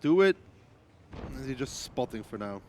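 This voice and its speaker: A man calling a live esports match, with quick teasing banter and brisk play calls. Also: mid-range voice, big pitch swings, darkish tone.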